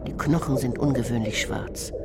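A woman performing poetry in a radio drama in a hushed manner, over music. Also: very deep voice, moderate pace, heavy background noise. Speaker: performer reciting German translation. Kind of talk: poetic radio drama recital. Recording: radio production with loud music underneath.

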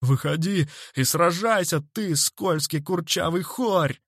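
A man's voice: on the high side, very animated, unhurried, no room sound, clean studio sound, pitched above the performer's own voice. Storybook hothead acted by a man, pugnacious young fellow, roaring and growling threats. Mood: furious. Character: hot-headed, pugnacious furious young man.

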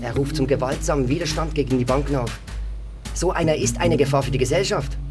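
A man's voice: mid-range voice, fast talker, over music, natural voice. A man playing a dutiful, officious policeman in a German radio drama, formal, earnest and deferential.

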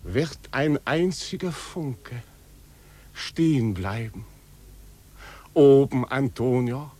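A proud prince facing his downfall, with a medium-pitched voice. He speaks in solemn, lyrical questions with brooding intensity, in the manner of old German radio drama. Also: slow, dark tone, breathy edge. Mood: fervent, brooding. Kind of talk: 1950s German radio drama acting, poetic monologue.

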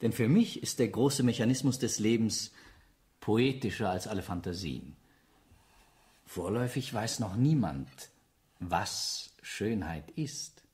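An actor playing a rational materialist scholar, courteous, precise and self-assured, in a German radio play. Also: male voice, fairly low pitch, expressive, unhurried, darkish tone. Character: coolly rational male materialist scholar, politely superior.

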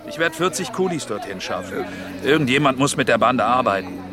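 A man's voice: medium pitch, lively intonation, fairly quick, a crowd in the background, lightly acted. An actor playing a pushy, boastful male trader in a German radio play, talking in an emphatic, brash hard sell.

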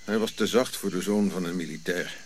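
Low-voiced man acting an African prince reminiscing. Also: brisk, a little hiss or hum, close to an everyday voice. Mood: reflective, wistful. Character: reflective African prince recounting his royal youth. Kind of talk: audio drama narrative monologue.

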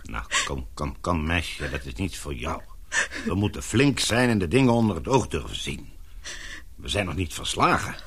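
Husky, animated, soothing father. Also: sits low, moderate pace, heavily acted. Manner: paternal, coaxing, questioning. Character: concerned, soothing father in a position of authority. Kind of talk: radio drama acting.

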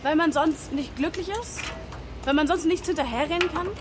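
A woman acting as a frustrated graduate in her twenties speaks in a high voice. She is disillusioned and argumentative, in naturalistic radio drama dialogue recorded with clear background noise. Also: moderate pace, lightly acted. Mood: bitter, agitated. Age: 20s. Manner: argumentative, questioning. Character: frustrated, disillusioned young pharmacy graduate working in a bar.

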